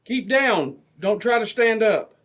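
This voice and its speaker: Man acting a high-pitched, commanding Mountie hero. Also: lively intonation, unhurried, fairly bright tone. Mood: steady, reassuring. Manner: decisive, authoritative. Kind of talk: re-created old-time radio drama acting.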